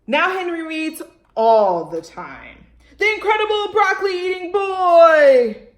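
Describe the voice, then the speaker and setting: Woman, children's storytime reader. High voice, very lively pitch swings, expressive, poses questions to young listeners. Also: echoey room. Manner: expressive, dramatic pauses, asking listeners questions. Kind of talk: animated read-aloud for young children.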